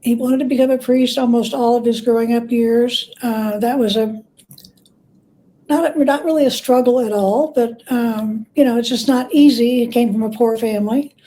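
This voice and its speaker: A woman speaking as an expert guest in an interview, telling stories in a plain-spoken, thoughtful, measured way. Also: a little high, fairly even intonation, dark tone, poor audio. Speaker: guest, church historian and biographer. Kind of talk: conversational interview, storytelling.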